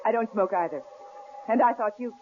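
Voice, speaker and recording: An actress playing a nervous young wife in an old radio drama, talking in anxious, hesitant bursts. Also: female voice, fairly high pitch, brisk, natural voice. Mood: wary. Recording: tinny old radio broadcast, faint hiss.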